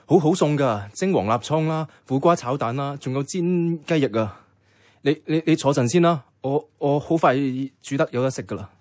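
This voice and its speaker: A man's voice: on the high side, expressive, moderate pace, no echo, lightly acted. An actor with a Hong Kong Cantonese accent playing an earnest, clumsy, lovestruck young man in a radio drama. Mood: awkward, eager.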